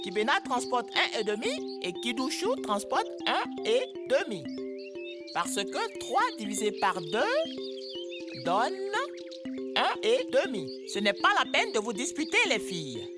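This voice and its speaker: A woman's voice: a little high, wildly swooping pitch, measured pace. A woman voicing a bossy but patient older girl in an educational cartoon, explaining with emphasis. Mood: firm. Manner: instructive, emphatic. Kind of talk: French-language educational cartoon dub. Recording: music behind the voice.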